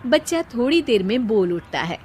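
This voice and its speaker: A woman's voice: a little high, very animated, normal speed, heavy background noise. A woman reading scripted narration as the storyteller of a cartoon tale.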